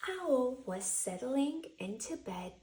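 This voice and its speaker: Slow, animated woman reading to children. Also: high voice, noisy background. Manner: dramatic, addressing young children. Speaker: children's book reader. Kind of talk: expressive bedtime story read-aloud.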